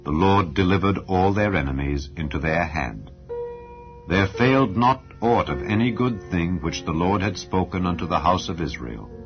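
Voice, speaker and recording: Male audiobook narrator, deep register, formal declamatory scripture read, dull lo-fi sound. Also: expressive, moderate pace. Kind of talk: solemn scripted scripture reading. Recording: dull lo-fi audio over clear music. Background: background music.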